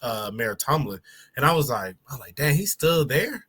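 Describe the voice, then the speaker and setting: A young man with a slight lisp talks through stories in a relaxed, easygoing way. His voice is bright and forward. He sits at a middling pitch and keeps it casual, peppering lines with "bro.". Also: normal speed, husky. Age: young adult. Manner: laid-back storytelling, says bro a lot. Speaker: podcast co-host. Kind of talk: casual chat.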